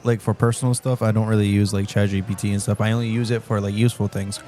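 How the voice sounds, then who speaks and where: Laid-back low-voiced man. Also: flat delivery, fast, warm tone, soft background music. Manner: laid-back slang, lots of fillers like bro and dog. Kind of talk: casual chat.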